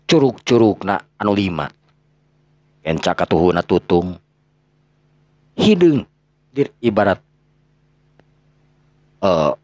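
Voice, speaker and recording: A man's voice: sits low, no room sound. A man with a Sundanese accent narrating a folktale dramatically, breaking phrases up for suspense. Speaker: storyteller.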